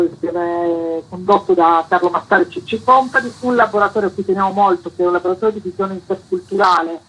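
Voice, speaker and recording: A woman being interviewed on the radio as a festival organiser. Her voice is bright and forceful, with a husky grain. She explains the programme in an informational interview style. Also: mid-range voice, low-quality recording.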